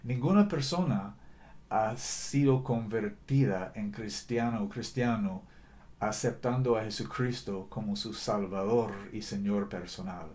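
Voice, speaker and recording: A man preaching a sermon in a language that is not his first, earnest and deliberate, often correcting himself mid-sentence. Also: fairly low pitch, a little mellow. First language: not Spanish.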